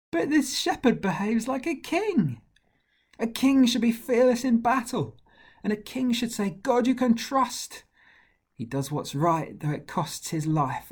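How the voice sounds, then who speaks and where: A man voices a desperate crowd of ancient villagers in a children's storybook. The voice jumps high and turns singsong and begging. It has a husky grain and a slow, rhythmic pull. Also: darkish tone. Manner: pleading, singsong.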